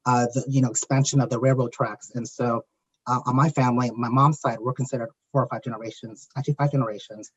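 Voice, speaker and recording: A man leads a panel discussion in a mid-pitched voice, steady and unhurried. His words come with frequent fillers. The recording sounds dull and lo-fi. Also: slightly rough. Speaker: panel moderator.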